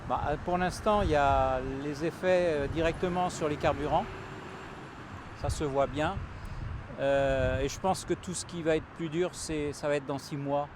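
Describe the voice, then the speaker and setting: A man answering a street interview in a measured way. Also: a little high, steady tone. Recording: clear traffic noise behind.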